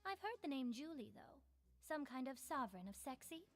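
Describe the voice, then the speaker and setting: A woman's voice: high-pitched, sing-song, a little fast. An actress playing a defiant, evasive female bar owner in a video game, with a haughty, curt delivery. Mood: indignant.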